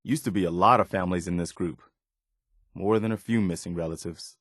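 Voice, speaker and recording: A loyal militia officer from a wasteland video game. His voice sits on the low side and is recorded dry and close. He speaks with measured, conscientious earnestness and a touch of weariness. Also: a bit bright, dry recording. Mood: guarded, pleading. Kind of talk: video game character dialogue.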